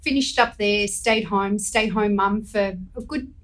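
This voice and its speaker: A woman's voice: medium pitch, a little slow. A middle-aged woman, a home stager and interior designer, talking casually in an interview and telling her story with animation. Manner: chatty storyteller, animated when recounting events.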